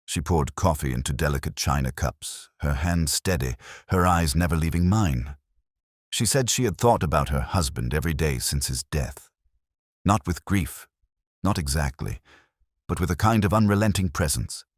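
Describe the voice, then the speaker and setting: Very deep, husky male narrator. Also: animated, dry recording, clean studio sound. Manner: measured, literary, solemn. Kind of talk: first-person scripted detective story narration.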